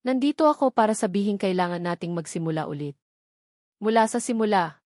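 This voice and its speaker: Woman acting a hurt young woman in love, calm, firm, deliberate, romance audio drama, dry close sound. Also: mid-range voice, no echo, close to an everyday voice. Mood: hurt, resolute.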